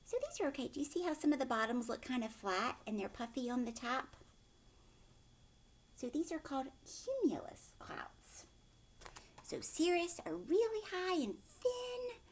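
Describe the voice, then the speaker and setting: A woman in her thirties reading aloud and explaining to young children in an expressive way, asking simple questions, recorded at home on a webcam. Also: high voice, measured pace. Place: living room at home, indoors. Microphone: webcam or laptop at arm's length.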